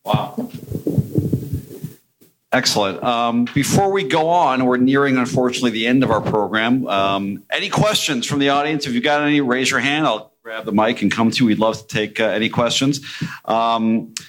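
A man's voice: mid-range voice, moderate pace, a bit bright, poor audio. A man moderating a live panel in an informal, conversational way, inviting others to speak.

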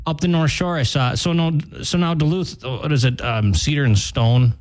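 A man's voice: fairly high pitch, normal speed, darkish tone. A man with an American accent co-hosting a morning radio show, chatting casually in a rambling way and often correcting himself mid-sentence. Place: radio studio.

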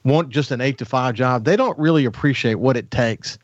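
A man's voice: mid-range voice, moderate pace. An enthusiastic male radio host who doubles back on his words as he talks. He is recorded close and dry in a studio, with a slightly muffled, narrow sound and no room echo. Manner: enthusiastic, repeats words as he restarts. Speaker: radio talk show host. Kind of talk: upbeat interviewing, chatty lead-ins.

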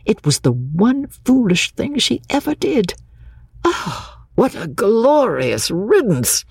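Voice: mid-range voice, sing-song, a little slow, a little mellow, breathy edge, dry recording, studio quality, heavily acted. A reader voicing a chatty, opinionated English clergyman who rambles theatrically in an audiobook. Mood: relieved, gleeful.

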